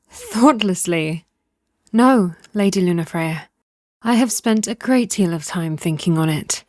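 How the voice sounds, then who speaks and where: A woman playing a confident, defiant young princess in an audio drama, with a calm, controlled, assured delivery. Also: fairly low pitch, slow pace, no echo, clean studio sound. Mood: dismissive.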